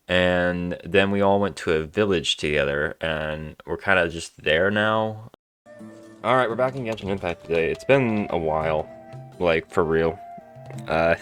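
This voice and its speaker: A man giving casual let's-play commentary in an offhand, hesitant way that tends to trail off. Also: deep voice. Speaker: video game player commentating.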